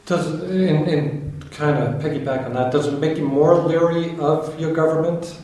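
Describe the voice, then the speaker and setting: A man's voice: fairly high pitch, fairly even intonation, normal speed, a little mellow. An American man, a journalist at a press roundtable, asking long, meandering questions in a conversational way. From United States. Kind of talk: interview questions. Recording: echoey room, mic at a distance.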